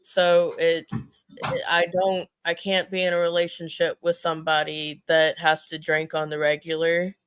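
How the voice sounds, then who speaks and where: A woman's voice: sits low, monotone, a little slow, clear, resonant tone, no room sound, poor audio. A woman chatting casually as a talk show panelist, blunt and swearing freely.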